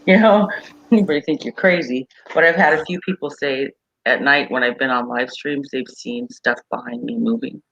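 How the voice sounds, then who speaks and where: A woman's voice: rumbling low, gravelly, a bit bright, slight background noise, rough recording. A middle-aged woman hosting a casual livestream chat over a video call, a chatty storyteller who laughs easily. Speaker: livestream host.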